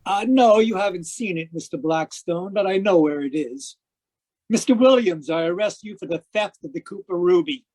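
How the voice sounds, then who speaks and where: Police inspector played by an actor, radio mystery, medium pitch, methodical, polite, authoritative. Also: animated, normal speed, darkish tone, close to an everyday voice. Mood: quietly triumphant. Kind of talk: old-time radio mystery acting.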